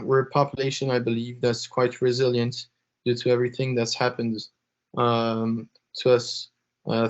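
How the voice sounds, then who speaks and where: Hesitant man on a dull-sounding podcast. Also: medium pitch. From half French, half Lebanese. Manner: hesitant, many fillers and restarts. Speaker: interview guest, blockchain executive. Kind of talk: casual interview answers. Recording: slightly dull podcast audio.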